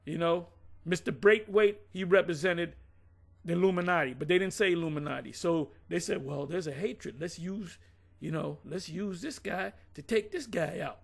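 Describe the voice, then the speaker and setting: A man in a casual conversation, recounting past events bluntly and with rough language, often restarting his sentences. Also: fairly high pitch, normal speed. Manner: blunt, profane, rambling with many restarts. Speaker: former group member recounting events.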